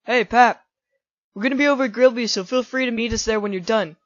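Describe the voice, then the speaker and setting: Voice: medium pitch, fast talker, dry recording, natural voice. An actor voicing a laid-back, joking male skeleton monster in a comic dub, talking in a casual, easygoing way. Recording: dull lo-fi audio with faint music.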